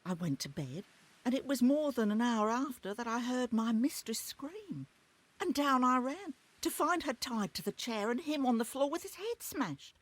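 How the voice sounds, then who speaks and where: An actress playing a loyal, anxious maid in a video game, deferential and plain-spoken with a dramatic delivery. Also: female voice, on the high side, animated, normal speed, no echo. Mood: anxious, recounting. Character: loyal, anxious maid serving her mistress.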